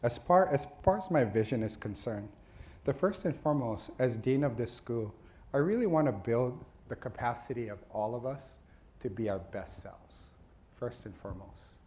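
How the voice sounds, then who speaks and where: Earnest man from Hawaii, mellow voice. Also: medium pitch, expressive. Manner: earnest, halting with frequent fillers and restarts. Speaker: dean of a social work school. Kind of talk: faculty meeting address.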